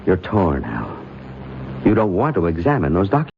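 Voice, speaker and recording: A man's voice: low voice, wildly swooping pitch, moderate pace, vocal fry, exaggerated voice. An actor playing a skeptical, insistent doctor in an old-time radio drama, a man who questions firmly and pointedly. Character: skeptical, probing male doctor, insistent and analytical. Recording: muffled, hissy old radio recording.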